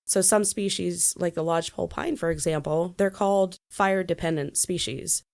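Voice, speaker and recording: A woman's voice: fairly low pitch, darkish tone. A woman hosting a solo podcast, talking through a stuffy head cold and explaining with small laughs. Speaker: podcast host.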